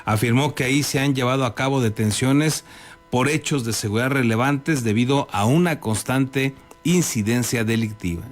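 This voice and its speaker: A man's voice: medium pitch, normal speed, faint music behind. A man with a Mexican accent presenting scripted news as an anchor.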